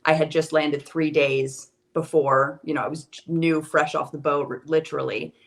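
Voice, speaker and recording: Lower-voiced young American woman, chatty. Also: normal speed, creaky. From United States. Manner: chatty, animated storyteller. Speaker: guest. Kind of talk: casual podcast conversation.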